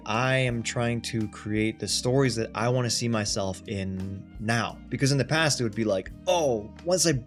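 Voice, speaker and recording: A man in his thirties from Thailand, talking casually and self-deprecatingly about his life in a personal vlog. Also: on the low side. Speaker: actor and content creator. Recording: faint background music.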